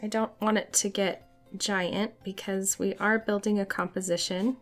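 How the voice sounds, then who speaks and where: A young woman with a hoarse voice from a cold, chatting casually as she teaches a watercolor painting tutorial. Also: mid-range voice, level tone, normal speed, soft background music. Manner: friendly, chatty, light laughs.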